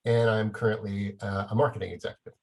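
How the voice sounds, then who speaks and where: A man's voice: lowish voice, level tone, fast, a little mellow. A middle-aged man from Texas talking casually about himself and his work as a panel guest. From Dallas, Texas.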